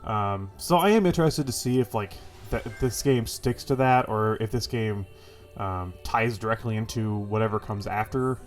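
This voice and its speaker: A man's voice: fairly low pitch, moderate pace, soft background music. A man with a stuffy, congested voice giving casual, rambling impressions of a game as host of his gaming channel, full of fillers and the odd swear. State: congested from a cold.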